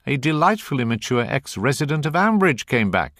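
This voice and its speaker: A man's voice: mid-range voice, sing-song, fairly quick, fairly bright tone, dry recording, studio quality. A British man hosting a radio programme, presenting and interviewing in a pointed, wry manner. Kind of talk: scripted presenting and probing interview.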